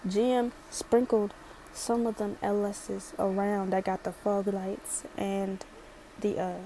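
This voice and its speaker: A woman's voice: medium pitch, flat delivery, takes it slowly, mellow, slight background noise, low-quality recording. A chatty young woman from Maryland with a light Baltimore accent, rambling sassily through a casual storytime. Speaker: vlogger.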